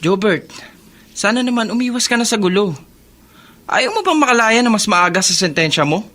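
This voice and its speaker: A man's voice: high voice, lively intonation, normal speed, pushed and bright. A man playing an earnest, scolding older brother of a prisoner in a Filipino radio drama. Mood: scolding, concerned.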